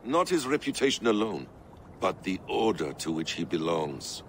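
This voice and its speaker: An actor playing a shrewd, calm middle-aged assassin mentor from abroad in a game drama, knowing and deliberate. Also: male voice, low voice, a little hiss or hum. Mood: assured. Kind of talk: cinematic video game drama.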